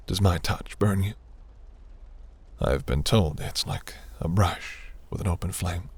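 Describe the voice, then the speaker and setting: A seductive demon prince, performed by a man, speaks in a very low, slow voice roughened by gravel. He is imperious and grandiose, yet flirts with velvety, breathy teasing in the manner of a fantasy romance roleplay. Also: heavily acted.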